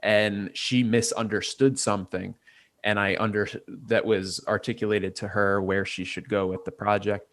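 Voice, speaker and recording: A man's voice: fairly low pitch, flat delivery, moderate pace, fairly bright tone, no echo. A man, a guest in a casual interview, thinking aloud and weighing ideas from many angles. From Port Chester, New York. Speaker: guest, artist and designer.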